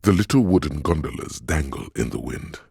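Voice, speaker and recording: Super deep husky video game inner voice, calm n observant, dry wit, narrates slow and deliberate. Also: male voice, no room sound, exaggerated voice. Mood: wistful, evocative. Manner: measured, deliberate, dryly wry. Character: detective's own visual cortex, a calm observant inner voice. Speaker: game voice actor. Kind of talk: literary second-person game narration.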